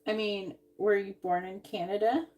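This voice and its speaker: A woman reading tarot cards on a live stream, musing out loud and trailing off with sighs. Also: mid-range voice, level tone, moderate pace. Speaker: tarot reader.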